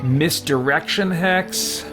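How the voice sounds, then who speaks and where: A man's voice: on the high side, takes it slowly. A man doing casual live gameplay commentary as a streamer, thinking aloud and reading things out. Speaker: game streamer. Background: faint game music.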